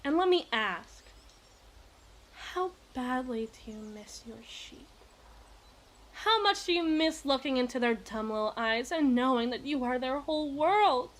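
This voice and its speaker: A woman's voice: high voice, animated, a little slow. A woman playing a possessive, imperious evil queen in an ASMR roleplay, speaking in formal, teasing, theatrical diction. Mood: intimate, menacing.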